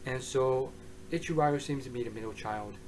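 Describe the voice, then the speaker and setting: A man giving a casual, rambling review monologue, hoarse and excitable, often restarting his sentences. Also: medium pitch, normal speed, slight background noise, low-quality recording. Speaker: manga reviewer.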